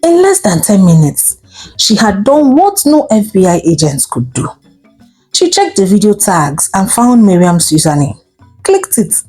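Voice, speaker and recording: A Nigerian woman narrating a folktale in a theatrical, expressive style, drawing out words and exclaiming as she goes. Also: mid-range voice, measured pace, slightly rough, soft background music. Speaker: storyteller. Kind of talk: dramatic folktale storytelling narration.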